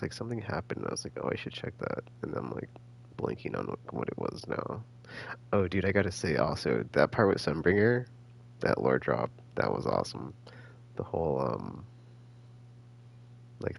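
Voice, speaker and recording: A man giving casual, rambling game commentary as a streamer, halting and slangy as he thinks out loud. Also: very deep voice, creaky, breathy edge. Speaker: gaming streamer.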